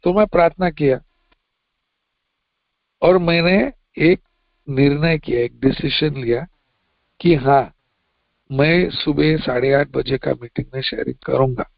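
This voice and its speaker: Man, Mumbai accent, high and gravelly, plain testimony share, dull dry lo-fi audio. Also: a little slow, pauses often, no room sound. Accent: Mumbai Hindi. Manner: plain, conversational, mixes in English phrases. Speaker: recovering member sharing at a meeting.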